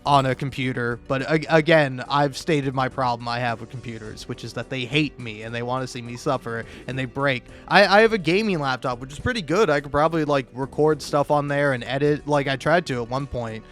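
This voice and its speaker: A man's voice: mid-range voice, fairly quick, hardly any pauses, a bit bright, soft background music. A man doing casual, rambling YouTube gaming commentary, stammering and restarting often, with profane, self-deprecating talk.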